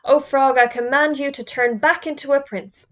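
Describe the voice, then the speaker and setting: A woman voicing a young schoolgirl playing a bossy princess, in a high, formal, haughty voice. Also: fairly even intonation, moderate pace, a bit bright. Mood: commanding.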